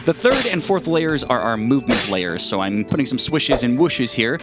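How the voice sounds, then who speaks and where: A man's voice: medium pitch, big pitch swings, moderate pace. A man in his thirties narrating a video in a jokey, self-deprecating way, with casual asides, close on a handheld mic. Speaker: YouTube host, game sound designer. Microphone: handheld microphone held near the mouth. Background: faint music.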